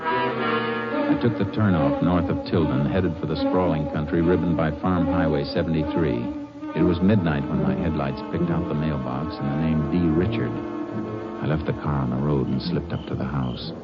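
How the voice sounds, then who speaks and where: An actor playing a firm, clipped Texas Ranger who also narrates a 1950 radio drama. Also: male voice, rumbling low, big pitch swings, normal speed, loud music behind the voice. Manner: firm, clipped, matter-of-fact. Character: steady, tough male Texas Ranger. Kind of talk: 1950s radio drama acting. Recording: dull lo-fi 1950 radio transcription.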